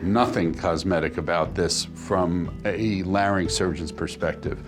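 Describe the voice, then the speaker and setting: A man who is a larynx surgeon, explaining calmly and reassuringly to a patient. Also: low-pitched, measured pace. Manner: calm, reassuring, instructive. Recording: soft guitar music underneath.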